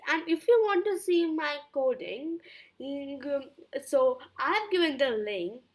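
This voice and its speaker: A woman's voice: high voice, fairly bright tone. A woman hosting her own online video, speaking in a scripted intro and outro style. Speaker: YouTube channel host.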